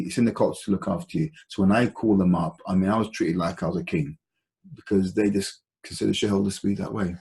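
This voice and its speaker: A man with a low, gravelly voice gives an informal investment briefing. He explains things conversationally and deliberately, often halting as he goes, in a slightly dark, mellow tone. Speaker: investment presenter hosting a conference call. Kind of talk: informal stock analysis talk.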